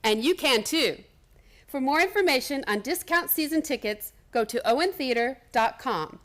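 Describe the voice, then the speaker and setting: A woman's voice: high-pitched, expressive, moderate pace, fairly bright tone. A woman announcer reading a scripted, upbeat advertisement for a theater season. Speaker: promo announcer.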